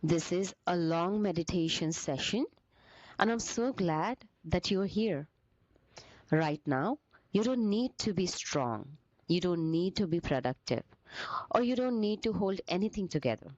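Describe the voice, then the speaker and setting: Woman meditation teacher, really slow n gentle, lowish voice, bit muffled lo-fi sound. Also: animated, dry recording. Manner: gentle, soothing, deliberate phrasing with short pauses.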